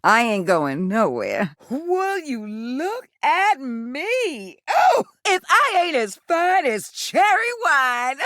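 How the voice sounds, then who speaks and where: A woman voicing a sultry, affectionate female household water heater in a video game, a gravelly voice and a folksy drawl full of pet names. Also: sits high, sing-song, takes it slowly, hardly any pauses, fairly bright tone, no echo, studio quality, exaggerated voice. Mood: overjoyed.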